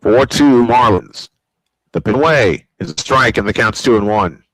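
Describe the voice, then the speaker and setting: An older American man calling a baseball game in classic broadcast style, his voice bright and forward. He rattles off counts and pitches steadily and lifts sharply when a play gets close. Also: normal speed, no echo, low-quality recording. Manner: old-school broadcast style, rising excitement on big plays. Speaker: play-by-play announcer.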